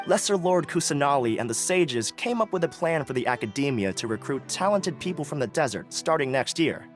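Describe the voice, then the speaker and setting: A man's voice: on the high side, expressive, a little fast, lightly acted. A man as a courteous festival organizer. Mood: explanatory. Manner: courteous, formal, explanatory. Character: polite young male festival organizer. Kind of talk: video game voice acting. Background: soft game music.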